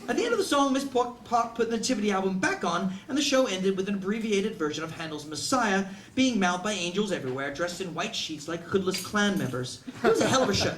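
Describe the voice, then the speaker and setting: A man's voice: high-pitched, quick pace, few pauses. Echoey, distant man reading a comic story. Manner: animated comic storyteller, acts out quoted lines. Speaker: author reading his own story. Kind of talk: live humorous short-story reading. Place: indoor bookstore reading event. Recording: echoey bookshop room, distant mic, audience noise. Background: laughing audience.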